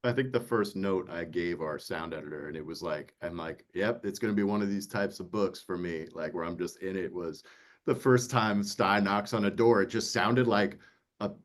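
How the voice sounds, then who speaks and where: Guy w a lowish voice, producer chatting on a panel, animated storyteller, acts stuff out. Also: normal speed. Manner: animated storytelling, acts out his own remarks. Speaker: audiobook producer and interview guest. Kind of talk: casual panel conversation.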